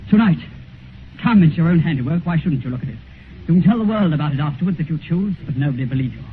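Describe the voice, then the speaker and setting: An actor playing a vain, corrupt, ageless English gentleman in a theatrical, taunting manner in a 1950s radio drama. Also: a little high, expressive, fast talker, natural voice. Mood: mocking, bitter. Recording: noisy, muffled 1950s radio recording.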